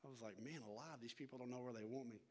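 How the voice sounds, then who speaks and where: Folksy North Texas pastor. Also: male voice, medium pitch, racing, a little mellow. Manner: folksy, self-deprecating, many restarts and filler words. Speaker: church education pastor leading a workshop. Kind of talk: casual talk to a church group, opening with prayer.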